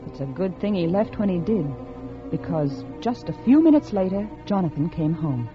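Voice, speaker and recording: A woman playing a scheming, calculating unfaithful wife, acting in a melodramatic 1950s radio suspense style with dramatic pauses. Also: fairly low pitch, sing-song. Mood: cold, confiding. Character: scheming, calculating unfaithful wife plotting her husband's murder. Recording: dull vintage radio recording with music.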